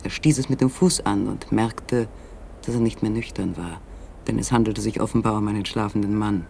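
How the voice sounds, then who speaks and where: A man narrating a literary radio play in a measured, suspenseful storytelling manner with dramatic pauses. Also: lowish voice, steady tone, vocal fry, darkish tone, slight background noise. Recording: faint background ambience.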